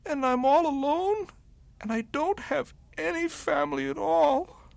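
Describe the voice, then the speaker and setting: A man voicing a tiny, lonely young male bunny who talks in a high, plaintive, sobbing voice. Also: measured pace, vocal fry, no room sound, full character voice. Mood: tearful.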